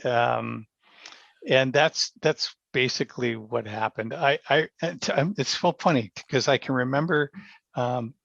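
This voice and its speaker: A man's voice: mid-range voice, unhurried, creaky, fairly bright tone, no echo. An older American man chatting casually and telling anecdotes as a guest in an interview. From small town in New York state, United States. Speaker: podcast guest, actor. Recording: dull, dry, lo-fi sound.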